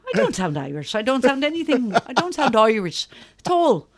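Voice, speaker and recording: A middle-aged woman speaks as a guest in a relaxed interview. She tells stories in an animated way, swearing freely, breaking off and restarting her sentences, and laughing as she goes. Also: a little high, normal speed. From New York. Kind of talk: casual interview chat.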